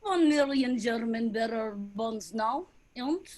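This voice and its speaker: Woman acting high, deliberate female assassin. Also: animated, a little mellow. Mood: cool, probing. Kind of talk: dramatic staged play reading.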